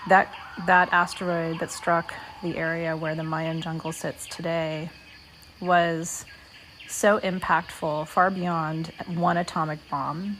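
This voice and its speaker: Lower-voiced woman guiding a meditation, nature noise behind. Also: fairly bright tone. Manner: measured, deliberate, speaking in short phrases with pauses. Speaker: guided meditation host. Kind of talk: guided meditation talk, spoken reflectively.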